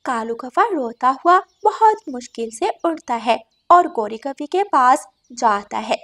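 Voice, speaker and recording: A woman's voice: high-pitched, animated, a little slow, a bit bright. A woman narrating a children's story.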